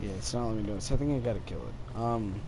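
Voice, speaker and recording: Noisy recording of a halting young man rambling. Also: lowish voice. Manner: hesitant, halting, trailing off. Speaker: gaming video creator. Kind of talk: casual rambling storytime over gameplay. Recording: clearly noisy amateur recording. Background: noise and video game sounds.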